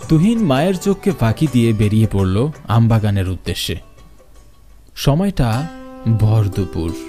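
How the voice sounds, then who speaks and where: Mellow male horror narrator, lively pitch. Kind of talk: scripted horror story narration.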